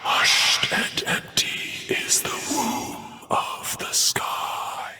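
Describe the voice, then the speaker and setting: An eerie, otherworldly video game being, whispers an incantation. The delivery is hushed and breathy, drawn out extremely slowly like a solemn chant. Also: faint music behind, exaggerated voice.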